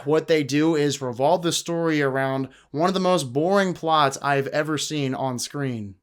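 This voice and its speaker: A man with a fairly high, very clean and smooth voice presents a casual video review. His delivery is emphatic and conversational, like a channel host talking straight to viewers. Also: a bit bright.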